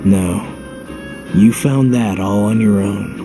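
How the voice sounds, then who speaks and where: A stoic young video game hero with a low voice. He is blunt and terse, taking his time over understated lines, with music clearly behind him. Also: takes it slowly. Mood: dry, guarded. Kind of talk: dramatic video game voice acting.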